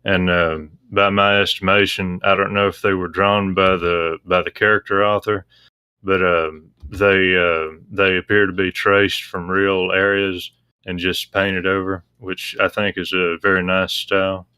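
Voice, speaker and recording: Low-voiced man, flat and matter-of-fact. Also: measured pace, clean tone, dry recording. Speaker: interview guest, visual novel translator. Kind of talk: casual explanatory discussion.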